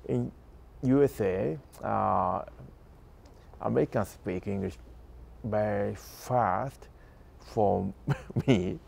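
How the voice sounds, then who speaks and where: A middle-aged Japanese man with a Japanese accent, speaking halting English and searching for words. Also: on the low side, gravelly, a little mellow, a little hiss or hum. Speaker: father learning about America.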